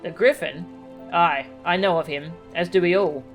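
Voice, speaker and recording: A woman's voice: lowish voice, normal speed, soft background music, close to an everyday voice, rough recording. A woman voicing a grave, dignified, wary settlement leader from a video game, speaking solemnly and formally. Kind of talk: reading video game dialogue aloud.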